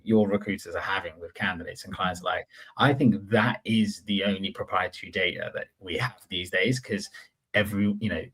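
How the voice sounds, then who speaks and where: A man's voice: deep voice, fairly even intonation, brisk, fairly bright tone, breathy edge, rough recording. A man hosting a podcast in casual chat, giving his opinions informally and circling back to rephrase them. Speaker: podcast host.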